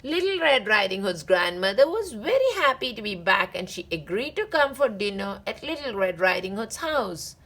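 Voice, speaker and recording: A woman hosting a read-aloud, narrating a children's story deliberately with drawn-out phrase endings. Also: medium pitch, sing-song, a bit bright. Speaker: read-aloud channel host.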